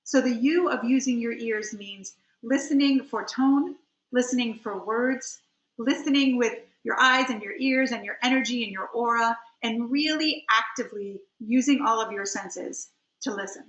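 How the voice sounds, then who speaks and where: A middle-aged woman giving an instructional webinar talk as a coach, emphatic and slowing down to stress key phrases. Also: fairly high pitch, fairly bright tone. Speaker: webinar presenter, communication coach and trial attorney. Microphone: computer on a video call. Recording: roomy, slightly dull computer audio.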